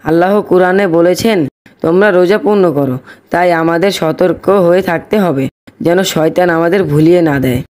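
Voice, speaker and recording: A man's voice: high-pitched, normal speed, a little mellow, natural voice. A man playing a pious, patient boy in a moral cartoon, earnest and instructive with his friend. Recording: faint background music.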